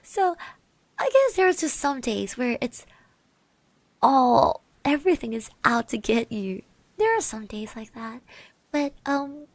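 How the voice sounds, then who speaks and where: Soft highish girl classmate asmr, woman acting it, really slow n gentle, whispery bits, reassuring, close dry mic. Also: no echo. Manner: soft-spoken, often dropping into breathy whispers; hesitant, gently reassuring, speaks of herself in third person. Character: sweet, shy, caring girl classmate. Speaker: ASMR roleplay performer, streamer. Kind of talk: ASMR roleplay as a supportive classmate. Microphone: close microphone.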